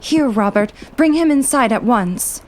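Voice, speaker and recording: A woman's voice: on the high side, expressive, unhurried, slight background noise. A woman playing an imperious upper-class Victorian lady in a comedy audio drama, formal and commanding.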